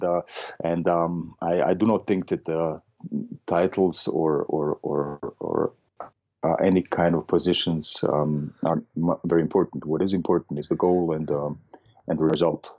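A middle-aged man from Austria, a doctor and surfer, answering interview questions slowly with many fillers and restarts. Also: low-pitched, a little mellow, lo-fi sound. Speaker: guest, medical doctor and surfer. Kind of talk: casual interview conversation.

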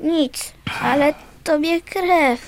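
Very high-voiced defiant little girl. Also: drawn-out words. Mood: concerned, insistent. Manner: defiant, blunt, short curt answers. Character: small, tough, wary homeless young girl. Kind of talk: radio play acting.

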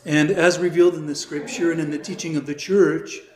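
A man, a priest recovering from illness, teaching a catechism class in a conversational way, his voice slightly raspy and a little weak. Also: fairly high pitch, fairly even intonation, brisk. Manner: conversational, with many fillers and restarts. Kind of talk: informal religious teaching. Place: church classroom. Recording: echoey room.

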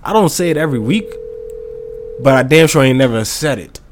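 A man's voice: mid-range voice, lively intonation, a little fast, slight background noise. A man co-hosting a podcast, chatting casually in a loose, profane and joking way. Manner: loose, profane, laughing banter. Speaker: podcast co-host. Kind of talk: casual chat. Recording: loud music playing behind.

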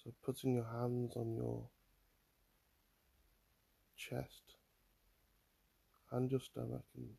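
A man guiding a mindfulness meditation in a calm, soothing manner, leaving long pauses between phrases. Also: medium pitch, creaky, husky. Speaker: mindfulness guide. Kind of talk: guided meditation, body scan.

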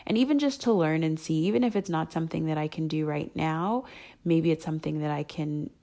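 A young adult woman with a hoarse voice, rambling and thinking aloud with many ums as she hosts her solo podcast. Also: deep voice, brisk, warm tone. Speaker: podcast host. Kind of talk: casual solo podcast chat.

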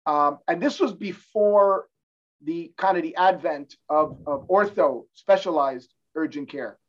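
A man's voice: a little high, unhurried. Middle-aged man, muffled, explaining. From New Jersey, born in Cuba. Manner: explanatory, frequent restarts. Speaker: guest, orthopedic hand surgeon. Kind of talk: casual interview talk. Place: office in his medical building.

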